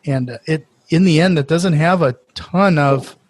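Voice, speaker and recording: A man hosting a podcast in casual chat, talking in quick restarts with filler words and eager reactions. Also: fairly high pitch, no room sound. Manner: friendly, stumbling restarts and "uh", enthusiastic reactions. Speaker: podcast host.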